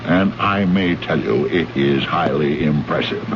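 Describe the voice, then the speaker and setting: An American actor playing a brash, greedy, condescending trade envoy from Earth in an old radio drama, talking in slangy, bullying fashion. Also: male voice, extremely low pitch, unhurried. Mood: gloating, scornful. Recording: noisy, muffled old radio recording.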